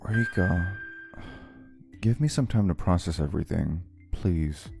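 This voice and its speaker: A man's voice: low-pitched, a little slow, vocal fry, darkish tone. A man playing an anxious, considerate young man in an anime-style romance dub, speaking earnestly and expressively with some hesitation. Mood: subdued, earnest. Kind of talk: anime-style dub acting and first-person narration. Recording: music bed behind the voice.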